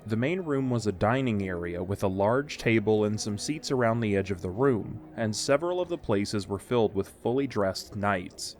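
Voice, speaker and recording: A man narrating a scripted audio drama in a measured, literary storytelling delivery. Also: lowish voice, expressive, darkish tone.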